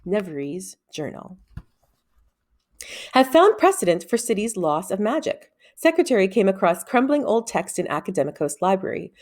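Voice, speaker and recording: A woman reading a children's fantasy novel aloud as an expressive first-person narrator. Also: mid-range voice, moderate pace. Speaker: audiobook-style reader.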